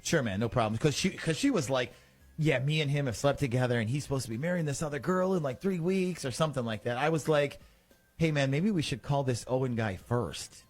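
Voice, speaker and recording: A man's voice: mid-range voice, fairly quick, faint music behind. A man hosting a morning radio show, running a prank call in a casual, teasing way.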